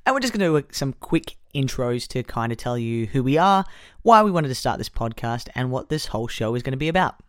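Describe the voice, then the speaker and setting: Man, casual podcast co-host, self-deprecating, mid-pitched, dry close sound. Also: big pitch swings, brisk, a bit bright, smooth, no room sound. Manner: chatty, self-deprecating, trails off mid-sentence. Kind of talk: casual chat.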